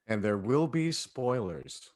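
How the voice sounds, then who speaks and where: A man's voice: medium pitch, big pitch swings, takes it slowly, darkish tone, no echo, studio quality. A man who directs and voice-acts, talking casually in a group discussion. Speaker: director and voice actor. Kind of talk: casual chat.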